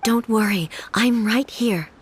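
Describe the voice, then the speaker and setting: A woman voicing a composed, commanding female ruler in a video game, speaking in a measured, authoritative way. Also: on the high side, slight background noise. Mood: reassuring.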